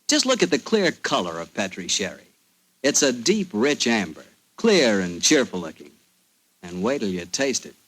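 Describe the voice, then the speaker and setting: Lively 1940s male radio pitchman. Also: poor audio. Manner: folksy salesmanship. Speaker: radio announcer and sponsor's pitchman. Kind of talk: 1940s radio advertising announcer.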